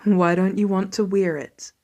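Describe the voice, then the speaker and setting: Woman acting as middle-aged father, lowered pitch, dry, wry, weary, protective, audio story. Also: steady tone, moderate pace, dark tone, close to an everyday voice. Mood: wry, stern.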